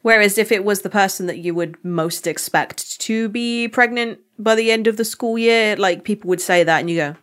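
A young British woman co-hosting a book podcast, speaking candidly in casual chat and telling personal stories with frequent restarts, her voice going hoarse. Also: mid-range voice, normal speed, few pauses. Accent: British English. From United Kingdom. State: losing her voice. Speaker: podcast co-host.

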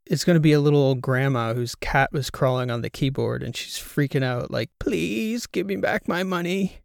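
A man voicing an excitable, incredulous male podcast co-host in an audiobook, animated and rambling. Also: mid-range voice, normal speed, gravelly, no room sound, clean studio sound, lightly acted. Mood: flustered. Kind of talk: audiobook character dialogue.

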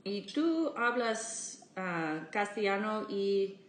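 Slow woman learning Spanish. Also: medium pitch, very animated, reverberant. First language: English. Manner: slow, searching for words as a learner. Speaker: podcast host. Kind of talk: casual interview.

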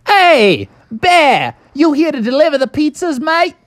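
A man voicing a wild, bossy dingo who owns a pizza parlor, with an Australian accent and an excitable, hearty manner. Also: very high-pitched, a little slow, no room sound, clean studio sound, pitched above the performer's own voice, exaggerated voice. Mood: hearty greeting. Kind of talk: children's story character voice.

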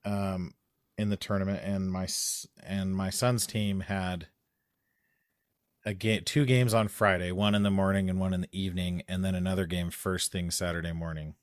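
Man, lowish voice, animated storyteller, dry close sound. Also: normal speed, no echo. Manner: animated storytelling, rising loud when excited. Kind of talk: casual chat.

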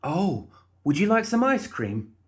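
A man's voice: sits high, big pitch swings, a little slow, voice pushed up. A man voicing a perky, chatty undead woman in an audiobook, bubbly and pushy with coaxing false reassurance. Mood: chirpy, coaxing. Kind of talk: audiobook character voice.

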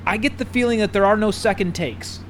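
A man's voice: high voice, brisk, slight background noise. A male co-host from the Cleveland area, asking rambling, joking questions in casual chat. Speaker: podcast co-host. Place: outdoors on a busy street.

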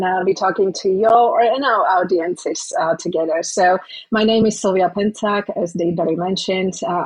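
A Slovak-accented woman in her forties speaks with a husky, breathy tone and a low voice. She talks earnestly and from the heart, pausing often on fillers. The recording is dry and close. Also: a little mellow, dry recording, poor audio. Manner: earnest, heartfelt, with frequent hesitations. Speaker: guest, organization president. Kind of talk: podcast interview.

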